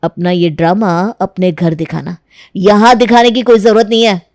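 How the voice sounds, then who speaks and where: A young husband from a cartoon family drama, played by a woman. He speaks at a middling female pitch in a close, dry sound, firm and full of reproach. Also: fairly even intonation, normal speed, darkish tone, dry recording, natural voice. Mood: reproachful. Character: stern, disillusioned young husband and protective son. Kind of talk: Hindi animated moral-story dramatization.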